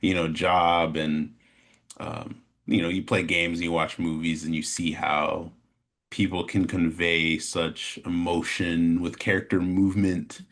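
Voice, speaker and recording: A man with an American accent chatting casually as an interview guest, in a rambling, halting way. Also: sits low, flat delivery, a bit bright. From Chicago, American Midwest. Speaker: interview guest, animation studio founder. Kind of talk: casual chat, telling his story.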